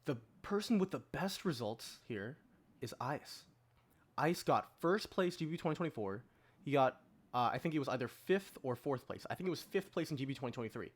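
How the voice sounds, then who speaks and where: A man's voice: medium pitch, lively intonation, fairly quick. A young man on a podcast panel, arguing his picks in a casual, assertive and emphatic way. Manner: emphatic, assertive, argues from results. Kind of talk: casual opinionated debate.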